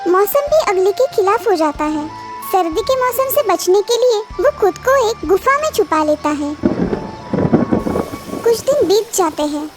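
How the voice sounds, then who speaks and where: A woman tells a children's story in a very high, animated voice. She reads as a scripted narrator, with music clearly playing behind her.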